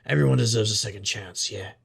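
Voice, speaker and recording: A low, very husky male private eye, played by an actor in a noir audio drama. He is world-weary and sardonic, delivering his narration and lines with a wry deadpan and a gravelly edge. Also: quick pace, exaggerated voice. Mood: bitter, sardonic.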